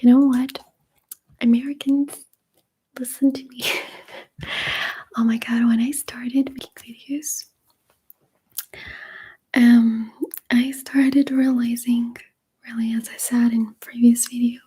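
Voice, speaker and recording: A young woman with a Russian accent, whispering softly and hesitantly as she answers viewer questions. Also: a little high, little pitch movement, slow pace, pauses often, slightly rough. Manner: soft unvoiced whispering, hesitant with fillers. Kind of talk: whispered ASMR Q&A.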